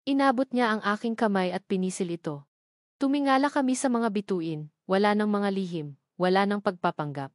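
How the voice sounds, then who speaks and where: A woman narrates a scripted love story in the first person. Her voice sits at a middle pitch. The sound is dry and free of room echo, in the manner of a dramatic audio story. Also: moderate pace.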